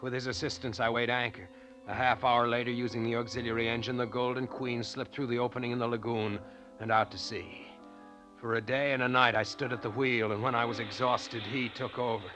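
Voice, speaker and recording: An actor playing a haunted, weary deep-sea diver in a 1940s radio drama, speaking gravely and broodingly. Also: male voice, flat delivery, fairly quick, music in the background, close to an everyday voice. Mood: grim, brooding. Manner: grave, brooding narration and terse replies. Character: haunted, weary male deep-sea diver telling his dark tale.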